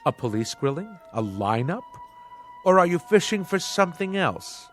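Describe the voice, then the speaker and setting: Pompous businessman, wildly swooping pitch. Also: very animated, normal speed, fairly bright tone, faint music behind. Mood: indignant, pompous. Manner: pompous, argumentative. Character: pompous, indignant big-city businessman bus passenger. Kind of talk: audio drama acting.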